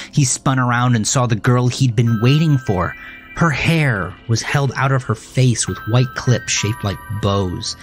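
A man narrating a scripted horror thriller in a dramatic, deliberate manner. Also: deep voice.

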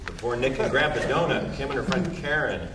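A man reading stage directions aloud at a table read, relaxed and chuckling between lines. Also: mid-range voice, fairly quick. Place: large room, seated at a long table. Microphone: far camera. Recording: echoey room, far from mic, loud hiss.

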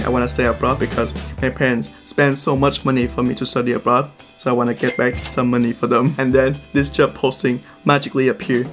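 A man in his twenties from Vietnam, chatting to camera in a casual vlog about his life. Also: medium pitch. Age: 20s. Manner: chatty, animated storytelling to camera. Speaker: vlogger. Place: apartment room indoors, then city streets and a shop. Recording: background music under the voice.

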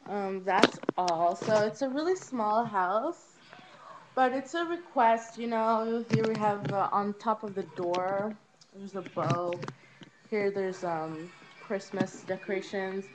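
A young woman giving a casual, rambling home tour on a webcam, informal and a little hesitant as she goes. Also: takes it slowly. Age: teenager to young adult. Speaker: vlogger. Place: rooms of a small house. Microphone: handheld webcam, moved around. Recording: noisy low-quality webcam audio.

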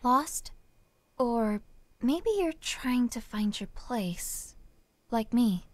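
A smug rival singer. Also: female voice, fairly high pitch, lively intonation, very slow, a little mellow, no room sound, full character voice. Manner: condescending, sweetly taunting. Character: smug rival woman singer, young adult.

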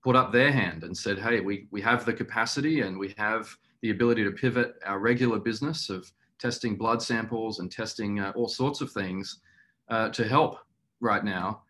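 A middle-aged man answering as a company executive in a webinar interview, speaking earnestly and in a measured, halting way. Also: lowish voice, a bit bright, slight background noise. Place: small indoor room, video call.

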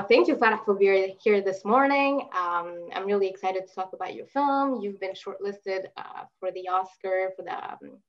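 A woman, a festival programmer, moderating a video call Q&A in a measured way with frequent fillers. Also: medium pitch. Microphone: laptop webcam. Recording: dull lo-fi call audio.

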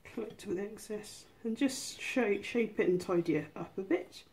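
A woman's voice: medium pitch, moderate pace, a little mellow. A woman hosting a casual home cooking tutorial, explaining each step in an informal, chatty way. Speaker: host of a miniature cooking channel.

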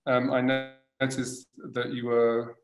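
A middle-aged man hosts a video-call interview from home. His voice has a medium pitch. He halts often and circles back over his words, and his laptop mic picks up a roomy, echoey living room. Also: monotone, takes it slowly. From born in Tunisia, grew up in England. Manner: hesitant, with many restarts and fillers. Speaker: host, designer. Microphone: laptop webcam on a video call.

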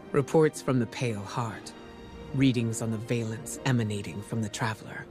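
A composed female spymaster from a video game. Her voice sits very low for a woman, and she weighs each phrase with solemn deliberation. Clear music plays behind her. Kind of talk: scripted video game dialogue.

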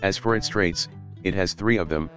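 Male reviewer, very low voice, relaxed scripted delivery with the odd curse, faint music bed. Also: normal speed. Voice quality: casual, swears for emphasis. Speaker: YouTube game reviewer. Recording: light guitar music behind.

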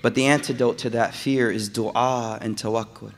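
A man giving a Friday sermon, moving from calm storytelling to loud, emphatic preaching and repeating phrases for emphasis. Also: mid-range voice, normal speed. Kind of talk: impassioned sermon. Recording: echoey hall reverb.

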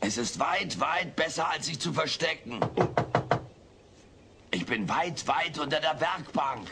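A man's voice: a little high, expressive, unhurried. A man voicing a cheeky, vain little furry alien with sarcastic, mock-pompous delivery in a German sitcom audio drama. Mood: cheeky, theatrical. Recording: faint noise, old cassette audio drama.

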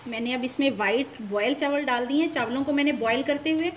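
High pitched lady doing a recipe voiceover, pan frying and stirring noise behind her. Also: a little fast. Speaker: host of a home cooking show. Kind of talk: recipe instruction voice-over. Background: sizzling pan and stirring.

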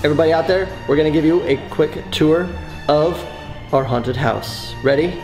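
A man's voice: fairly high pitch, moderate pace, low-quality recording. An American man in his thirties narrating a casual home tour to camera in a chatty, exclaiming way. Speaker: vlogger dad giving a tour. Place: inside a family house. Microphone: handheld camera. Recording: loud music under handheld home video. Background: loud music.

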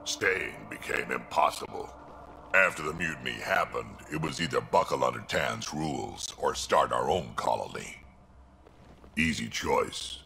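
An actor playing a tough old male krogan warrior, gruff and blunt, with a deep gravelly growl. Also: animated, a little slow, sharp tone, slight background noise, heavily acted.

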